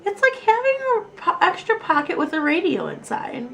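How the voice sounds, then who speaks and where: A woman voicing an earnest, excitable little schoolgirl from a storybook, speaking emphatically and dramatically. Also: extremely high pitch, moderate pace, gravelly, pitched above the performer's own voice, full character voice. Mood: excited.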